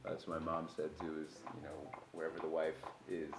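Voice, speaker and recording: A man interviewing a guest in a loose, chatty way, trailing off and filling pauses as he talks. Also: very deep voice, level tone, echoey room, noisy background. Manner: chatty, rambling, many fillers. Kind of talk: casual interview chat.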